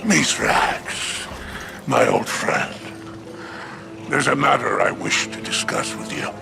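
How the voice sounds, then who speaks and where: A wily alien crime baron for a video game. The voice is thick with gravel and drawls slowly, sliding up and down in a slyly genial, insinuating way. Music plays clearly behind it. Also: male voice, mid-range voice. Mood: sly, coaxing. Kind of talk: video game cutscene acting.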